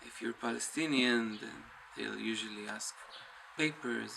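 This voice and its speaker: A man with a very creaky voice talks casually, thinking aloud with many halts. His delivery is understated, and the recording is noisy, with him some way from the microphone. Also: mid-range voice, normal speed, sharp tone. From Israel. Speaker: documentary interviewee. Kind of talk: casual personal account. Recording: noisy ambience, distant microphone.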